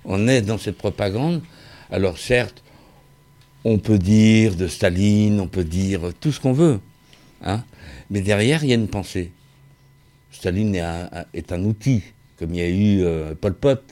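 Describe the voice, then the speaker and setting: The deep-ish voiced middle-aged man at a public debate who takes the floor and presses his point haltingly. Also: warm tone. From Vietnamese origin. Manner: halting, repeats phrases, insistent. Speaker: discussion participant, former craftsman and sound technician. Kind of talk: impassioned opinion in a group discussion.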